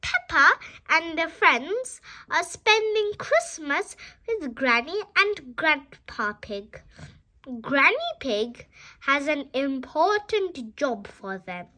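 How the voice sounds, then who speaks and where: High, bright woman reading to children. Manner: animated, deliberate delivery for children. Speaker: storybook read-aloud host. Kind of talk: expressive children's story read-aloud.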